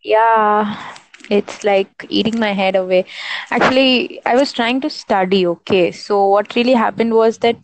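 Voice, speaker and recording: A woman's voice: mid-range voice, a little slow, a little hiss or hum, poor audio. A young woman from India talking about her worries in a casual voice chat on a phone app, rambling with lots of restarts. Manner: rambling, with many restarts and "you know".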